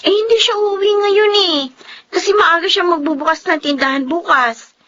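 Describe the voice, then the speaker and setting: A high, bright Filipino schoolgirl voice, chatty and curious. It is acted for radio drama, with a slightly dull sound. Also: normal speed. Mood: chatty, eager. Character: chatty, inquisitive schoolgirl, a classmate. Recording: muffled, dull audio.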